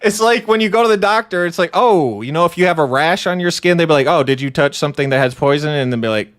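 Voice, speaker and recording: A man co-hosting a casual political podcast, sarcastic and quick to laugh as he reads comments aloud. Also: expressive, fairly bright tone. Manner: sarcastic, laughing, big swings in pitch. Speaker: podcast co-host.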